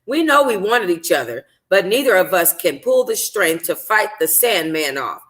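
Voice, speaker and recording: A woman hosting a book club, reading and chatting informally, sounding tired and slightly hoarse. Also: fairly low pitch, sing-song, moderate pace, a bit bright. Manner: informal, conversational. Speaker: book club host reading a novel aloud. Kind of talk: expressive audiobook-style reading and casual livestream chat.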